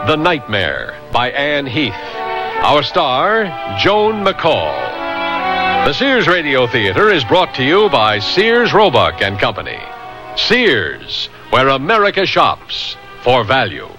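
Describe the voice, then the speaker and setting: High-pitched male radio announcer, animated. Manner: formal, emphatic. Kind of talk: scripted program introduction. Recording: vintage radio broadcast with music underneath. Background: music.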